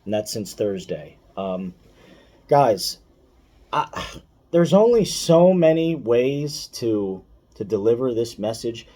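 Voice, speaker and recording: A man's voice: medium pitch, big pitch swings, takes it slowly, warm tone. A man giving casual, opinionated finance commentary for online viewers, speaking emphatically, repeating key points and addressing the listener directly.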